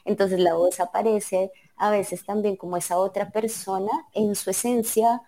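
A woman's voice: mid-range voice, fairly even intonation, normal speed, warm tone. A woman, a sound artist, talking casually about her work, hesitant and searching for words with many restarts.

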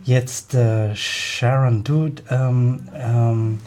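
Mellow-voiced male radio host. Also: medium pitch, fairly even intonation, extremely slow. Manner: hesitant, many fillers. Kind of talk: radio studio interview. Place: radio studio.

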